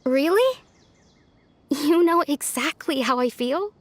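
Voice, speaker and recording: A young woman acting a gentle, lonely village woman in video game dialogue, speaking softly, earnestly and a little hesitantly. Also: high-pitched, measured pace. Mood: warm. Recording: music behind the voice.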